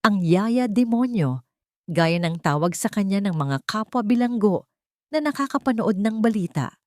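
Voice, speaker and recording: A woman's voice: medium pitch, expressive, moderate pace, dry recording, studio quality. A woman narrating a dramatic scripted story with solemn, emotive delivery.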